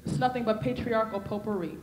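A woman's voice: on the high side, fairly quick, darkish tone. Young woman preaching in an echoey hall. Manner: rhythmic, repetitive preaching cadence. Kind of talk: sermon. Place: convention hall stage with podium. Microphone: handheld mic.

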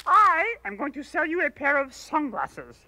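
A man's voice: very high-pitched, big pitch swings, normal speed, pushed and bright, exaggerated voice. An actor playing a furry blue monster salesman who pushes and over-explains his sunglasses. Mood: pushy. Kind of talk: puppet comedy sketch.

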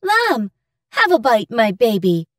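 A loving, grieving village mother from a cartoon fairy tale, played by a woman. She is tender and pleading, with a fairly high voice that swings expressively. The sound is dry. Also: wildly swooping pitch, slow, no echo, distant mic, full character voice. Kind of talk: English-language cartoon fairy-tale acting.

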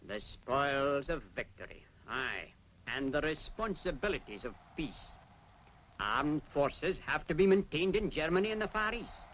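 A man's voice: on the high side, a bit bright, slight background noise, rough recording. An actor playing an earnest Scottish man who expounds national duty in declamatory 1940s public information film style. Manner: declamatory, deliberate. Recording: 1940s film soundtrack with faint music.

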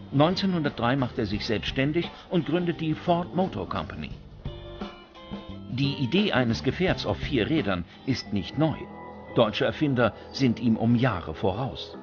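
A man's voice: mid-range voice, dull audio. A man reading scripted documentary narration as a narrator. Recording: music under the voice. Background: background music.

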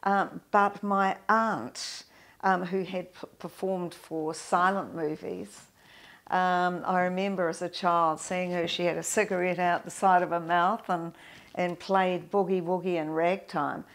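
Gravelly older New woman. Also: on the low side, level tone, unhurried, a bit bright. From New Zealand. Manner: reflective, with many restarts and fillers. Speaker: guest musician. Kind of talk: interview conversation. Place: indoor studio with framed awards.

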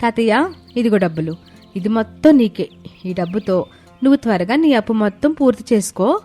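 A woman voicing a kind, outspoken young female office worker in an animated moral story, speaking earnestly. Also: a little high, moderate pace. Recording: background music under the voice.

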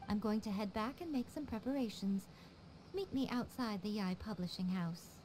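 An actress playing a teasing, regal fox-eared priestess who runs a publishing house, in a game. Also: female voice, medium pitch, dark tone, slight background noise, lightly acted. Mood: sly. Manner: teasing, smooth and unhurried. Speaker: chief editor. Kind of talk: game cutscene voice acting.

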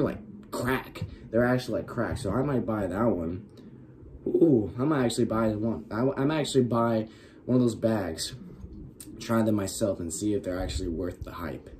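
A young man with a raspy, worn-out voice, chatting casually as host of a reaction video, filling his talk with "like" and laughing often. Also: lowish voice, warm tone, a little hiss or hum. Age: young adult. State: raspy, losing his voice from shouting. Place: small bedroom with LED lights.

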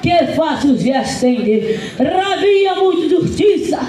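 Very high, boisterous Brazilian man calling verses. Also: sing-song, unhurried, warm tone. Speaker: folk clown poet performer. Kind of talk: shouted rhyming folk verse. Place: auditorium stage, indoors. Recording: very echoey hall, music playing. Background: folk music.

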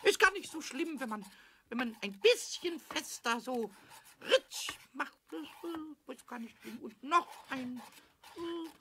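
A mischievous little kobold chatters to himself in a children's radio play, high and croaky. The pitch swoops all over in excitable, childlike sing-song, bright and piercing. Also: male voice, takes it slowly, exaggerated voice. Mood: sneaky, tempted. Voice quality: squeaky, scratchy.

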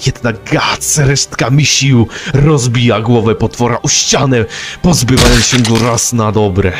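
Low-voiced man narrating a story. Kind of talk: scripted story recap narration.

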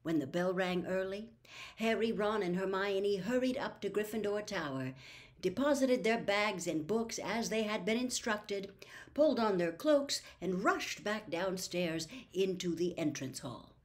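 Older lady storyteller, 60s or up, reading a novel out loud, dramatic phrasing, mid pitch. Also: lively intonation, measured pace. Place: small book-lined room at home.

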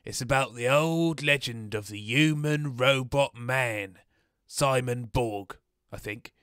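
A man playing a miserable, hard-done-by wasteland radio host in a deadpan comic monologue. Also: mid-range voice, takes it slowly, smooth, no echo, clean studio sound. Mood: glum, grumbling. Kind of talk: scripted comic radio monologue introducing songs.

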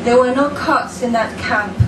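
Slow-reading woman poet, distant and echoey. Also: fairly high pitch. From France. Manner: slow, deliberate, measured reading. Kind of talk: poetry reading. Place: dim room with a lamp, indoors. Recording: echoey room, distant mic, background noise.

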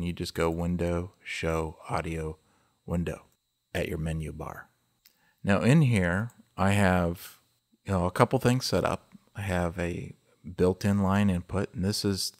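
Low-voiced man giving a casual tutorial. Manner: informal, conversational explaining. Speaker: host of an audio tutorial.

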